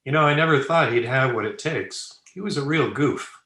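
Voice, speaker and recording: Man as smug playboy friend, radio comedy, sharp bright tone, breezy delivery, roomy video-call sound. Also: mid-range voice, normal speed. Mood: easygoing. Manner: breezy, self-satisfied. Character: suave, flippant bachelor playboy, breezily flirtatious friend. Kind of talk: old-time radio comedy acting. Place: indoor room, remote video call. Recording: roomy echo on home video-call recording.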